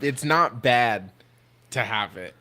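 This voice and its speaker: A man's voice: medium pitch, lively intonation, takes it slowly, bright, forceful tone. A man co-hosting a casual podcast, speaking in a wry, joking way. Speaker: podcast co-host. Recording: noticeable background noise with clicks.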